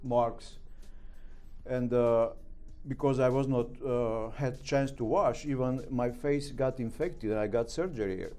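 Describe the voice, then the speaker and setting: A middle-aged man answers interview questions in halting, broken English with a Romanian accent. He stops often to find words, filling the gaps with fillers, at an average pitch. Faint music plays underneath. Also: warm tone. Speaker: guest.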